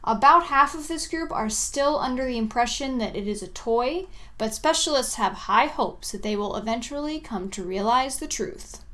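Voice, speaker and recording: A woman's voice: fairly high pitch, lively intonation, moderate pace, hardly any pauses, sharp tone. A woman co-hosting a casual show, wry and teasing, also reading mock news. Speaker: co-host.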